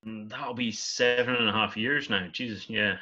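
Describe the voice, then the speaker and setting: A Scottish man from Scotland, a guest chatting in a relaxed, rambling, easygoing way over a dull remote call. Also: fairly low pitch, normal speed. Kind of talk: casual interview chat.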